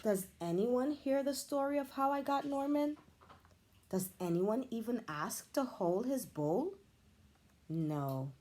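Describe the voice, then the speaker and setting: A woman, a teacher, reading a children's picture book aloud with expressive, animated storytelling. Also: a little high, takes it slowly.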